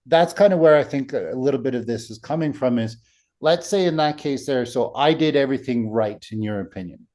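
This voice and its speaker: A man with a deep voice hosting a casual podcast, telling a story and checking in with "right?" as he goes. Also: a little fast, mellow. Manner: storytelling, frequent "right?" tags. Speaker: podcast host.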